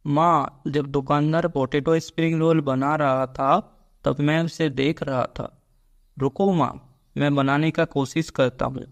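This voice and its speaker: A man's voice: on the high side, steady tone, measured pace, lo-fi sound. A man voicing a caring, dutiful young village son devoted to his mother in a Hindi cartoon story. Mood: eager, caring.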